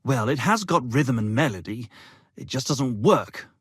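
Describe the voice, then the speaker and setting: A pompous medieval poet and musician from a video game, speaks with florid theatrical flair. His voice is husky and swings widely in pitch, in a dry, close recording. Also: animated, a little slow, fairly bright tone, dry recording. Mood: breezy, boastful. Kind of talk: theatrical game character dialogue.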